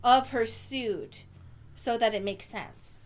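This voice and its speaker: A woman co-hosts a podcast in casual conversation. Her voice is small and babyish, pitched somewhat high. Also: slow. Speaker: podcast co-host.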